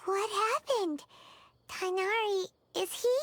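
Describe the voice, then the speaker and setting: A woman voicing a tiny, excitable childlike fairy companion in an anime-style game, squeaky and chirpy. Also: slow, slight background noise, exaggerated voice. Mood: surprised. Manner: chirpy, exclamatory.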